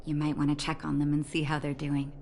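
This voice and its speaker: A woman voicing a caring, composed clinic doctor with a gentle, polite manner in a video game. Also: low-pitched, monotone, fast, a little hiss or hum. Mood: gracious, busy. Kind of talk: voiced game story dialogue.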